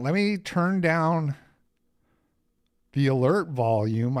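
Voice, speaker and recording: A man with a raspy voice hosts a casual livestream at an unhurried pace. He rambles, makes sarcastic asides and gets irritated easily, and his pitch sits in a middle range. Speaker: host.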